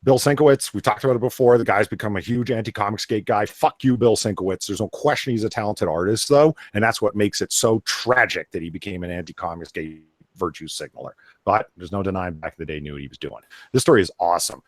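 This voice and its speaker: A man with a very deep voice talks casually as a panelist on a comics show. He is opinionated and swears freely. The sound is dry, with no room on it. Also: quick pace, dry recording. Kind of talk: casual comic book review chat.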